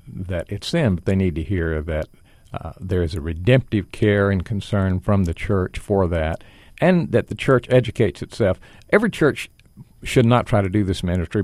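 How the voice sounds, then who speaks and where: Deep-voiced earnest man. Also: darkish tone, no room sound. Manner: earnest, reassuring. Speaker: ministry guest.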